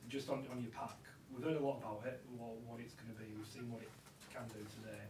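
A man, a reporter asking quick questions at a press conference, heard off-mic. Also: mid-range voice, fairly even intonation, echoey room, recorded from a distance. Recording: off-mic, with faint noise.

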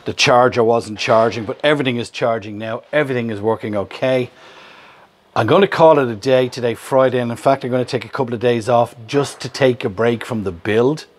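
A man's voice: mid-range voice, brisk. A man in his fifties with an Irish accent, hosting a casual camping vlog, chatty and upbeat as he explains the work, filmed on a camera held at arm's length in a workshop and a van. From Ireland. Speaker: vlogger host. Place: workshop yard and inside a van under build.

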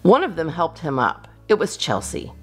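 A woman's voice: sits low, very animated, normal speed. A woman reading a children's storybook aloud expressively, as a storytime reader. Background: guitar music.

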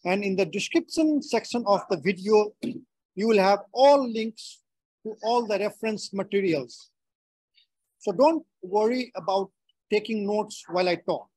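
A middle-aged man from Nepal with a Nepali accent gives a prepared webinar talk in a measured, phrase-by-phrase delivery. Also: high-pitched, no room sound, low-quality recording. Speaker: webinar speaker.

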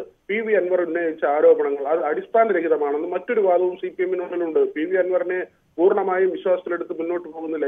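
Over a narrow, tinny phone line, a male news reporter delivers live political analysis. His voice is fairly high, and he often halts on filled pauses. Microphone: phone call.

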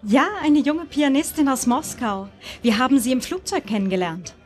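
Woman acting chatty Soviet wife, higher voice, polite, sociable, radio play, faint music. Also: lively intonation, normal speed. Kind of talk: live radio play performance.

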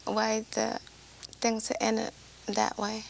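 Gravelly, halting woman from Vietnam. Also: fairly high pitch, completely flat delivery, a little hiss or hum. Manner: halting non-native English with broken grammar. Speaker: interview guest. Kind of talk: personal life story in conversation. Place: small TV studio set.

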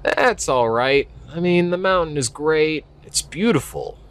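A man's voice: a little high, expressive, a little slow, fairly bright tone, clear, resonant tone. A man acting a young, skeptical park ranger in an audio drama, speaking casually and hesitantly with a wry edge. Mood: wistful, resigned. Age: young adult. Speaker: voice actor. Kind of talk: naturalistic audio drama dialogue.

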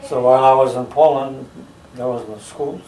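Elderly man, halting slow storytelling, roomy living room, heard from across the room. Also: mid-range voice, steady tone, a little mellow, slight background noise. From United States. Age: older. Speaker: interviewee recounting his life. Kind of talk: family oral history interview. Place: living room, seated in a recliner. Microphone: camera mic from across the room. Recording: roomy home video from a distance.

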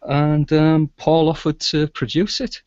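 A man's voice: a little high, little pitch movement, unhurried, darkish tone, dry recording. Middle-aged man, muffled video-call sound. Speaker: guest, audio drama producer and sound engineer. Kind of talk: casual interview talk. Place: small indoor room with shelves, video call.

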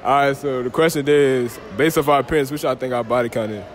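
A man's voice: medium pitch, quick pace, slight background noise. A young man doing casual street interviews in a shopping mall, teasing and joking in slang, recorded on a handheld phone. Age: young adult. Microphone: phone held out by hand.

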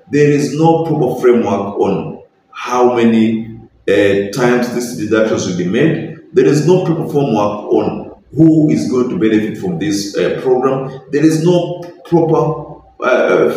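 A man's voice: mid-range voice, a little mellow, slight background noise. Young Kenyan man in an echoey room. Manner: earnest, opinionated, mixes in Swahili phrases. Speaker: political commentator. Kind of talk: direct-to-camera political commentary. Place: small bedroom, indoors. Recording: very echoey room, slightly distant mic.

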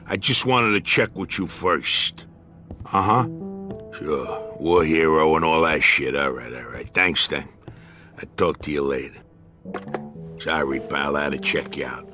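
An actor playing a smug, foul-mouthed dockside union boss in a crime video game, with an American accent and a streetwise, chummy, patronizing manner. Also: male voice, very deep voice, pushed and bright, full character voice. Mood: wary, probing. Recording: music behind the voice. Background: background music.

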